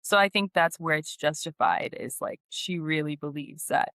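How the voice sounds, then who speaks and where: A woman's voice: sits low, moderate pace, fairly bright tone, no echo, clean studio sound. A woman speaking as an actress guest in a casual podcast interview, often saying "like" and quoting her own reactions.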